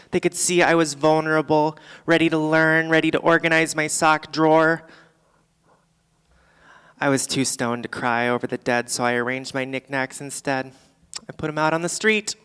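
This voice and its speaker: A performer playing a boozy, world-weary middle-aged female comic, doing a rambling, deadpan stand-up monologue live on a theatre stage. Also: mid-range voice, clean tone, big-room sound, close to an everyday voice. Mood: rueful, rambling. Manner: rambling, deadpan, theatrical, swinging between asides and outbursts.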